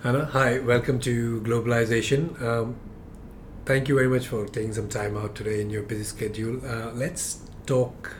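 A man's voice: medium pitch, flat delivery, normal speed. A man hosting a podcast, introducing and questioning a guest. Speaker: podcast host, interviewer. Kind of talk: scripted introduction and interview questions. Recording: music playing behind the voice.

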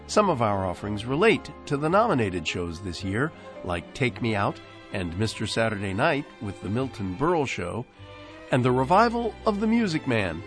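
An American man hosting a radio show with a scripted but conversational introduction and playful, theatrical emphasis. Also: on the low side.

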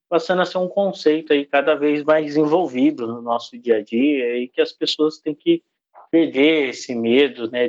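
Brazilian man explaining tech, dull lo-fi sound. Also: medium pitch. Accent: Brazilian Portuguese. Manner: patient, explains step by step with examples. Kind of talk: unscripted explanatory talk.

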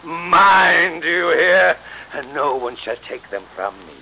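A man's voice: on the high side, animated, slow pace, vocal fry, bright, forceful tone, exaggerated voice. A man playing a greedy, murderous villain, defiant and gloating, in theatrical 1930s radio drama style. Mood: gloating menace. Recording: noisy vintage 1930s radio recording.

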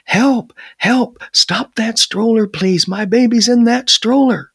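A man voicing a panicked father in a story, desperately pleading and yelling for help. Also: extremely high pitch, slow, gravelly, slightly rough, no room sound, studio quality, voice pushed up, full character voice. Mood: frantic, pleading. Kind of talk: live-style oral storytelling.

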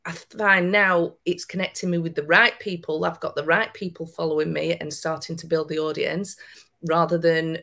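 A woman's voice: low voice, level tone, normal speed, vocal fry, pushed and bright. A woman with a broad Lancashire accent, a podcast guest giving encouraging, emphatic advice. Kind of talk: podcast interview.

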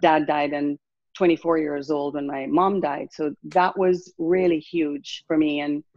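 A woman's voice: sits low, fairly even intonation, darkish tone, no room sound, lo-fi sound. A woman in her sixties from Jamaica with a Jamaican accent, a wellness coach reflectively telling her life story as an interview guest. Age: 60s. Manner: reflective, unhurried, many fillers and restarts.

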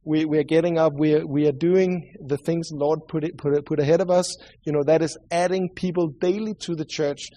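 Earnest Swedish-accented preacher, fairly high voice. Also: male voice, fairly even intonation, mellow. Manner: earnest, halting, with many restarts and repeated words. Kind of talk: sermon with prayer.

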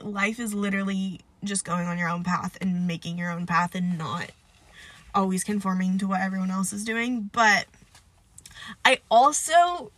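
A woman's voice: mid-range voice, bright, forceful tone, no room sound. Stuffy-nosed young woman rambling on a podcast. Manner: rambling, filler-heavy, self-deprecating. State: stuffed-up nose, nasal. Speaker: podcast host. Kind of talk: casual solo podcast chat.

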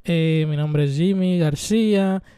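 Mexican guy in his 20s, kinda high but mellow voice, smooth, rambly and swears a lot. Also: takes it slowly, no room sound. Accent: Mexican Spanish. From Mexico (Veracruz). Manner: rambling, irreverent, swears freely, many fillers. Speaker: podcast host. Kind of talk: casual solo monologue.

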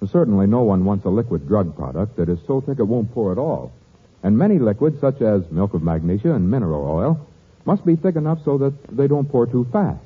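A man's voice: fairly low pitch, big pitch swings, fairly quick, husky. A man announcing a scripted 1940s radio advertisement. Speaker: commercial announcer. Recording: phone-like vintage radio recording.

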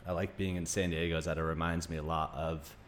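A man's voice: rumbling low, level tone, fast, a bit bright. A man in his thirties from Greece, Denmark and Arizona, talking casually as an interview guest in an informal, rambling storytelling way. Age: 30s. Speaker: podcast guest, naturopathic doctor.

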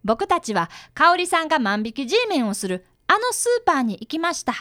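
A woman's voice: high voice, very animated, fairly quick, pushed and bright, dry recording, clean studio sound, full character voice. A woman playing an earnest, polite fifth-grade boy, an apprentice detective in a radio drama. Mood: narrating. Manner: polite, precise, boyish. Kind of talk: radio drama dialogue and first-person narration.

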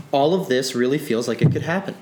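Brief: male author guest, rambling and restarting, faint hiss behind. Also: a little high. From Nova Scotia, Canada. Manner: rambling, many restarts, animated when excited. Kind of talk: conversational interview.

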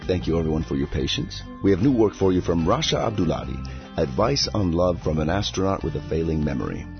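Very deep-voiced man hosting a podcast. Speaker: podcast host. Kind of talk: scripted podcast introduction. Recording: dull lo-fi sound with music behind. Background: music.

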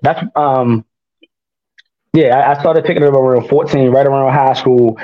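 A man's voice: medium pitch, moderate pace, muffled recording. A man, a rapper telling his story in a casual interview and often laughing at himself. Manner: laughs often, self-mocking, lively. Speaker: guest rapper.

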